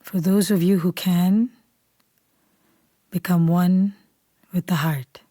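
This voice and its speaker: Mellow, soothing woman guiding a meditation. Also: lowish voice, no room sound. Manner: calm, unhurried, soothing. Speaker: meditation guide. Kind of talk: guided meditation, slow scripted instruction.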